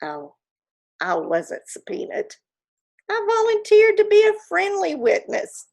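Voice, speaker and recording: A woman's voice: extremely high pitch, wildly swooping pitch, unhurried, creaky, darkish tone, heavily acted. A woman playing a proud, gossipy 1940s Hollywood stage mother in a theatrical monologue, chatty and self-satisfied, with confiding asides. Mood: self-righteous. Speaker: actor in a staged reading.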